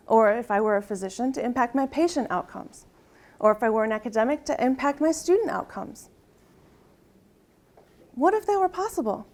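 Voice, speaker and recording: A soft-spoken middle-aged woman giving a conference presentation, leading an audience exercise and asking "right?" often. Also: a little high. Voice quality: soft-spoken, tries to project. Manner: uses frequent rhetorical "right?" tags.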